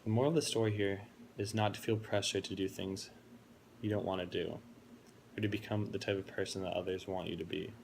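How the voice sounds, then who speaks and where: A young man in his twenties delivers a prepared speech about himself, earnest and reflective. His voice sits deep and gravelly with a narrow, even melody. A faint hiss runs underneath. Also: brisk. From Florida, United States. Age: 20s. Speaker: college student giving a speech.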